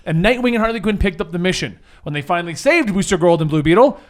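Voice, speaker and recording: A man speaking casually as host and game master, animated and jokey as he banters and recaps. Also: high voice, fast talker. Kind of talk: casual banter and recap for a tabletop gaming show.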